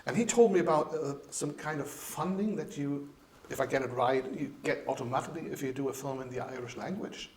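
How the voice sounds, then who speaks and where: A man's voice: medium pitch, brisk, hardly any pauses, a little mellow. A man interviewing a film director, asking friendly, plain questions.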